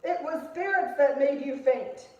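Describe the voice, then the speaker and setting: A woman's voice: sits high, a little slow. A woman playing an indignant, self-righteous accuser who presses insistently in an amateur puppet-show trial drama. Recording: very echoey room, dull sound.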